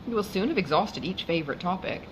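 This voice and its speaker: A composed young gentlewoman from an audiobook novel, voiced by a woman reader, teasing in a measured, dry way. The voice is fairly low with varied intonation, over clear noise. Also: expressive, lightly acted. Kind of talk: audiobook character dialogue.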